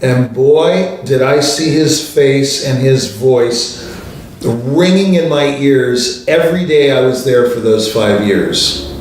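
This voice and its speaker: Older male host, chatty storyteller, mid pitch, distant mic, roomy echo, faint background hum. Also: takes it slowly. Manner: anecdotal, conversational, many fillers. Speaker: show host, pianist. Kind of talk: storytelling monologue. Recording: distant mic in a very echoey room.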